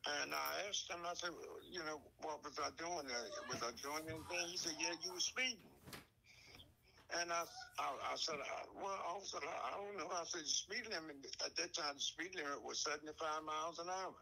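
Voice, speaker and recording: A man's voice: fairly high pitch, brisk, creaky, bright, forceful tone, a little hiss or hum, rough recording. A man from North Carolina telling a personal story in a folksy, conversational way, acting out the dialogue. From North Carolina, USA. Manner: folksy, acts out quoted dialogue.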